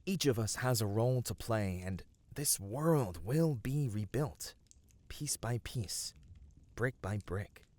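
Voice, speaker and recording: A man voicing a gentle, noble fantasy adventurer in an ASMR roleplay, speaking soothingly and earnestly in formal, old-fashioned phrasing. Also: mid-range voice, lively intonation, slow pace, dry recording. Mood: reassuring. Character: gentle, noble, reassuring male fantasy adventurer comforting a weary friend. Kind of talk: ASMR fantasy roleplay, scripted intimate voice acting.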